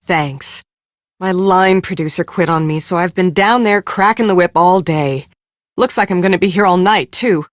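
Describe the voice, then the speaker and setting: An actress playing a harried, blunt film producer in a mystery game, brusque and sardonic. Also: female voice, fairly low pitch, normal speed, dry recording, studio quality, natural voice. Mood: irritated, weary. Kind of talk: scripted game dialogue.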